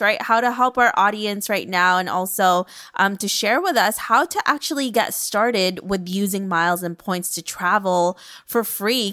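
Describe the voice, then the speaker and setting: A woman's voice: mid-range voice, fairly bright tone, no echo, studio quality. A woman hosting a podcast, speaking in an enthusiastic, bubbly way as she introduces her guest and asks questions. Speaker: podcast host. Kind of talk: upbeat podcast hosting and ad reads.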